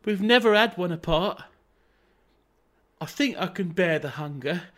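A man's voice: high voice, expressive, measured pace, fairly bright tone. A man voicing a starving, devoted wife with pleading anguish in a dramatic poem recital. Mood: tender pleading.

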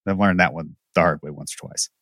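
A man's voice: deep voice, fairly even intonation, fast, fairly bright tone, no echo, studio quality. A man co-hosting a podcast, giving blunt, wry opinions and anecdotes. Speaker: podcast co-host. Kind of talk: casual opinionated chat.

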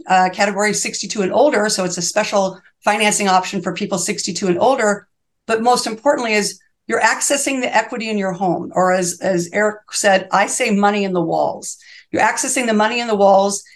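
This is an older woman in her sixties speaking as a specialist guest on an interview show. Her voice sits in a middle register. She talks in earnest, heartfelt bursts, piles clause upon clause and often restarts a thought partway through. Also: medium pitch. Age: 60s. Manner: earnest and fervent, long run-on sentences with restarts. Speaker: reverse mortgage specialist, podcast guest. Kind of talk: interview conversation.

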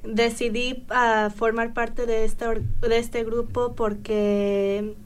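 A young woman university student from Indiana answering in a casual interview, hesitant with many pauses. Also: on the high side, little pitch movement, a little hiss or hum. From Indiana, USA. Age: young adult. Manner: hesitant, many fillers and restarts.

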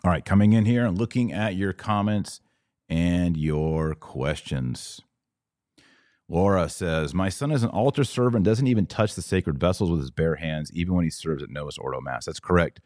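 A man's voice: sits low, animated, moderate pace, darkish tone, dry recording, studio quality. A man hosting a religious podcast, talking casually and instructively straight to his online audience. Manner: conversational, didactic, addresses listeners directly. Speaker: podcast host.

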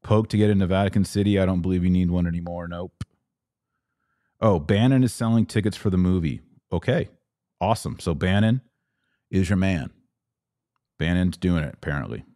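American guy doing a laid-back livestream q&a, lowish voice, close dry sound, slangy. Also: moderate pace, long pauses, warm tone, dry recording. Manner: informal, playful slang. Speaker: podcast host. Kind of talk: casual livestream chat answering viewer comments.

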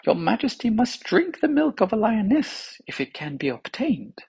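Man voicing a young physician, high pitched, earnest, husky. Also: very animated, moderate pace, a little mellow, heavily acted. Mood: urgent. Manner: high, eager and urgent. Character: nervous young male court physician.

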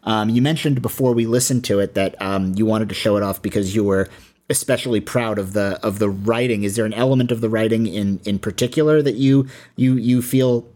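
A man with a fairly low voice hosts a casual podcast interview. He talks hesitantly, padding his questions with ums and repeated words. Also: fairly quick, a little mellow.

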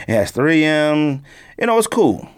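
A man's voice: on the high side, very animated, a little slow, noisy background. A man hosting a podcast, chatting casually and informally with plenty of slang. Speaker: podcast host. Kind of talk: casual sneaker podcast chat, unboxing.

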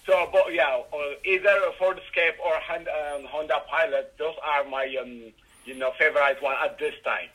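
A middle-aged man from Ethiopia with an Ethiopian accent, answering interview questions haltingly with many fillers and restarts. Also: a little high, fairly even intonation, sharp tone, husky, rough recording. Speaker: guest, rideshare driver.

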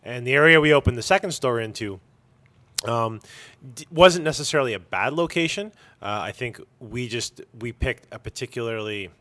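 A man, a shop owner answering interview questions casually, choosing his words carefully with pauses. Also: medium pitch, animated, bright, forceful tone. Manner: measured, careful wording with pauses.

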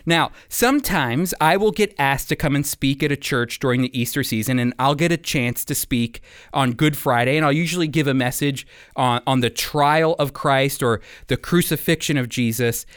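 An American man hosting a radio Bible program, teaching in an earnest, emphatic sermon style with deliberate phrasing. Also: medium pitch, fairly bright tone, smooth, dry recording, clean studio sound. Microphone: close studio microphone.

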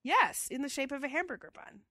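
A woman's voice: sits high, expressive, a little fast, pushed and bright, dry recording, studio quality. A woman co-hosting a casual chat show, with a stuffy head cold and a chatty, enthusiastic way of talking. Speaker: podcast co-host.

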